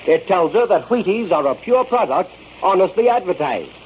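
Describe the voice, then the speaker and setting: A man's voice: sits high, sing-song, moderate pace, darkish tone, low-quality recording. A man announcing a folksy, persuasive 1930s radio advertisement aimed at children. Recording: old radio recording, faint noise.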